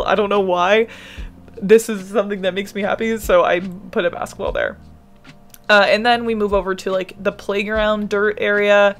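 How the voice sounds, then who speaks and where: A young American woman with a slight lisp, giving a casual, rambling voiceover of her game build. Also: mid-range voice. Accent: General American. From United States. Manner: rambling, self-interrupting, laughs often.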